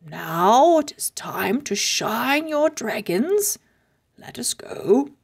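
A patient old wizard from a children's storybook, acted by a woman. He is firm and instructive, taking his time over each phrase. The voice is measured, a little breathy and hushed at times. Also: fairly high pitch, sing-song, voice pushed up, heavily acted.

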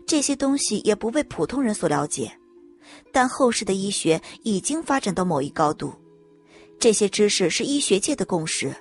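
A woman reading a scripted story as a dramatic audiobook narrator. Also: medium pitch. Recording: music bed under narration.

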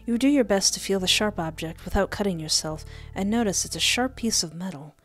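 Female let's-play streamer, mid-pitched, playful and expressive, reading and commenting over faint game music. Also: a little fast. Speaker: gaming streamer. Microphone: close desk microphone with headset.

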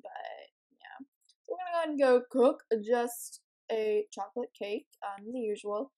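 Teen girl, raspy and a bit high-pitched, rambling and giggly gameplay commentary. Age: teens. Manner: chatty, giggly, rambling with many ums. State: morning voice. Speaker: let's play host.

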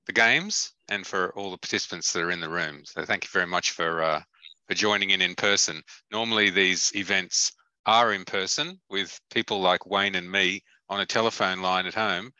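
A man's voice: deep voice, steady tone, moderate pace, bright, forceful tone, smooth, no room sound, dull audio. A man hosting an online meeting, making informal remarks and giving thanks over a video call. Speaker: meeting host.

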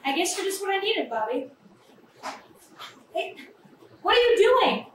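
A woman's voice: extremely high pitch, a little slow, full character voice. A young woman playing a glib, manipulative, callous woman with a morbid streak in a theatrical staged reading. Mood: gleeful, scheming. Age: young adult. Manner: animated, flippant, theatrical. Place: small café, live staged reading. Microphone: far camera. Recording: echoey, distant camera mic, faint noise.

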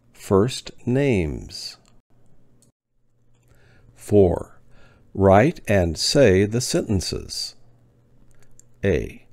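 Brief: deep gravelly male teacher, slow and deliberate, lively pitch. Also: no room sound, studio quality. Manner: spaced-out words with long pauses, clear enunciation. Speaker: ESL teacher. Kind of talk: slow, deliberate lesson reading.

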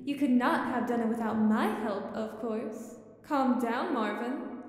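Smug, worldly genie played by a woman, high voice, calm and formally teasing, for audio theater. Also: a little slow, husky, faint music behind.

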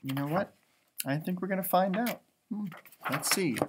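A man in his thirties reading a children's storybook aloud, expressive, with chatty asides and drawn-out emphasis. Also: high voice. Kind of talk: animated read-aloud with casual asides.

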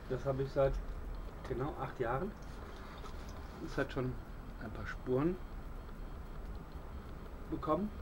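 A middle-aged man casually showing off his first-aid kit outdoors, with a relaxed, hesitant delivery and plenty of fillers. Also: medium pitch, takes it slowly, long pauses, background noise. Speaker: bushcraft video host. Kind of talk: casual show-and-tell. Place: outdoors on a patio by a wall.

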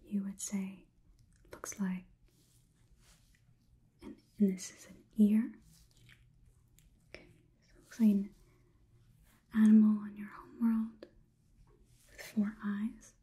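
A young woman whispering softly and deliberately in an ASMR roleplay, playing a curious, logical robot overlord who questions the listener clinically. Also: mid-range voice, level tone. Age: young adult. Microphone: close ASMR microphone.

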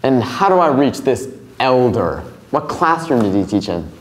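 A man's voice: medium pitch, sing-song, normal speed, close to an everyday voice. A young man playing a confused, sceptical student in an amateur comedy skit. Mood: puzzled. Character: confused, sceptical male student cramming for a history test. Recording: echoey room, faint background noise.

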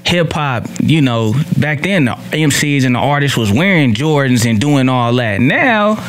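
A young man talks in a casual, slangy way as a guest in a radio studio. His pitch moves around in a lively way, and he is blunt and profane, repeating himself for emphasis. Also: measured pace. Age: young adult. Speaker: interview guest. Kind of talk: casual, slangy opinionated chat. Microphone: studio broadcast mic on boom arm.

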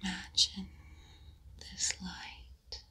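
A woman whispering a guided meditation in a slow, soothing voice, leaving long pauses between phrases. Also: bright, forceful tone. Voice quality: unvoiced whisper.

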